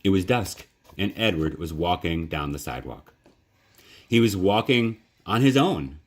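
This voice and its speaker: A man reading a children's story aloud in a measured, dramatic, expressive narration. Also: on the low side.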